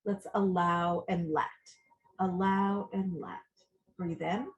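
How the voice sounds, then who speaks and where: A woman coach leading a guided visualization meditation, giving soothing, gentle instructions with long pauses. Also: lowish voice, flat delivery, muffled recording.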